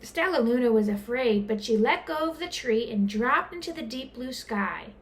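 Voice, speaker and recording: A woman reading a children's picture book aloud as an expressive storyteller. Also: a little high, moderate pace. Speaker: storyteller, teacher.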